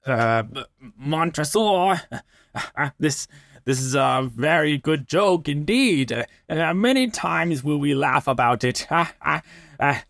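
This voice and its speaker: A man's voice: on the high side, animated, takes it slowly, creaky, fairly bright tone, no room sound, clean studio sound, voice pushed up, full character voice. A man voicing a doomed, trusting man in a dramatic story reading, with hesitant, broken phrasing. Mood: nervous, pleading. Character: doomed, tipsy, trusting man, stammering and increasingly desperate.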